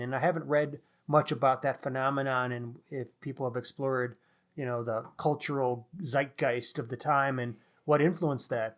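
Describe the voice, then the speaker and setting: A man co-hosting a book discussion in a casual, musing, conversational way. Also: mid-range voice. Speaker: podcast co-host reviewing crime novels.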